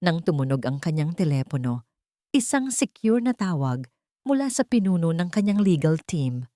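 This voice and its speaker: Woman narrating a dramatic story, lower-pitched. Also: very animated, normal speed, mellow, no room sound, studio quality.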